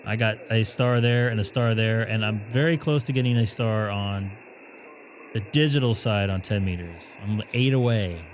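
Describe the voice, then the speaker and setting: A man hosting a ham radio live stream, chatting and thinking aloud as he operates, his voice going hoarse. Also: fairly low pitch, moderate pace, slight background noise. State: losing his voice.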